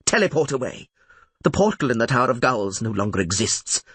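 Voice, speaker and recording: A man's voice: mid-range voice, very animated, brisk, a bit bright, breathy edge, dry recording. A man voicing an imperious older female archmage in an audiobook, speaking in formal, proclamatory tones. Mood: stern. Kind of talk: audiobook character voice.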